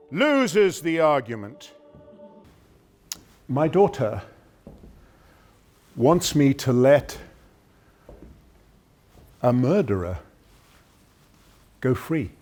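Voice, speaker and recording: An actor playing a troubled, dutiful middle-aged public prosecutor and father, in an intense, deliberate theatrical monologue. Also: mid-range voice, dark tone. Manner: intense, deliberate, with dramatic swells and drops.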